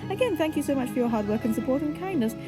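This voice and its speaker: A woman's voice: sits high, lively intonation, very fast. A woman hosting a podcast, giving an informal credits outro in a chatty, enthusiastic and self-mocking way. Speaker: podcast host and producer. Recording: music clearly behind the voice.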